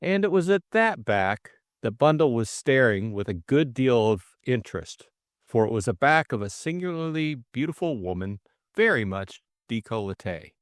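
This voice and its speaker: A man's voice: mid-range voice, sing-song, normal speed, no room sound, clean studio sound. A man reading a classic mystery audiobook as scripted dramatic narration.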